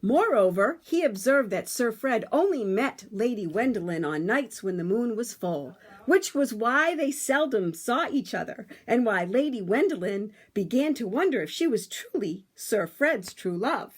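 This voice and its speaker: A woman reading a picture book aloud to children, with dramatic, emphatic phrasing and drawn-out words. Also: a little high, big pitch swings, husky.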